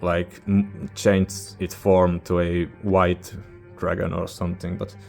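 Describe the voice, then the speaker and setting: Low, monotone man giving a casual review. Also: takes it slowly, gravelly, faint music behind. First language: not English. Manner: hesitant, informal, searching for words. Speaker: music reviewer, channel host. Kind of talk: casual rambling album review.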